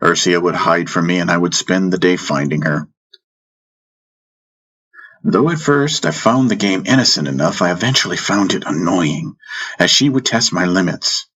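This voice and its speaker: A man narrating a first-person fantasy audiobook in a measured way, with dramatic pauses. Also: low-pitched, big pitch swings, fairly bright tone, breathy edge. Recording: music bed under the voice. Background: background music.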